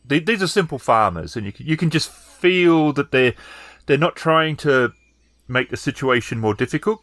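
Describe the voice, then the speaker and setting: A man narrating a tabletop game as game master, improvising with halting, hesitant phrasing. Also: mid-range voice, fairly bright tone, no echo.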